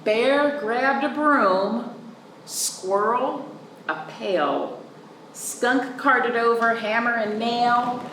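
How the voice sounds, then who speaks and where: A woman reading aloud to young children in an expressive, sing-song way, drawing out words and speaking simply and clearly for them. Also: on the high side, very slow. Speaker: children's librarian, storytime reader. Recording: roomy echo, distant mic, faint noise.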